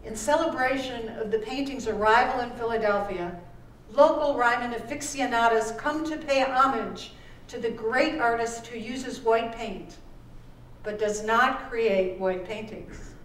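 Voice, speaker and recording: Lady author reading her book out loud real slow at some event, far from the mic, bit of background noise. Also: on the high side. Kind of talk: scripted literary reading at a live event. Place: live performance event in a room. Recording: roomy, distant microphone with background noise.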